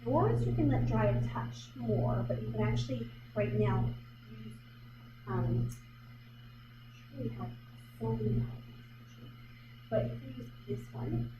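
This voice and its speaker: A woman talks through a painting demo in a chatty, self-questioning way, often stopping on fillers. Her voice is fairly high. She sounds far from the mic in an echoey gallery with loud noise behind her. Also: animated, a little slow, long pauses, lo-fi sound. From Vancouver Island, Canada. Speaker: artist leading a painting demonstration. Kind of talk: live painting demonstration, thinking aloud. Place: art gallery.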